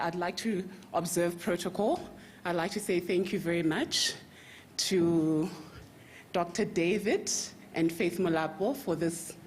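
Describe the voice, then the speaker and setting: A woman from Zambia speaks into a mic at a conference. Her voice sits slightly low and her manner is friendly and lively. Faint room noise sits behind her. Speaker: bank representative speaker. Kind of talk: conference speech. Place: conference hall.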